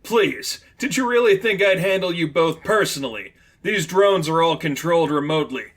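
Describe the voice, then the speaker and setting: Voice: mid-range voice, lively intonation, normal speed, voice pushed up, close to an everyday voice. A man voicing a cocky, theatrical young male villain in a superhero audiobook story. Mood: smug taunting. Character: cocky taunting young male costumed villain, turning frantic.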